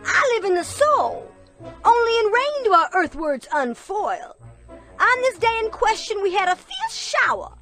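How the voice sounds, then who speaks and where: A woman's voice: very high voice, very animated, measured pace, a bit bright, faint music behind, full character voice. A woman playing an indignant, self-righteous earthworm witness with a haughty, theatrical delivery in a comic rhymed radio play. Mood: self-important.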